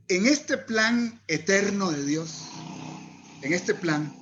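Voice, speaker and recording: A man's voice: high-pitched, a bit bright. A man, an interview guest, explaining scripture step by step in a didactic, teaching way. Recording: muffled lo-fi audio.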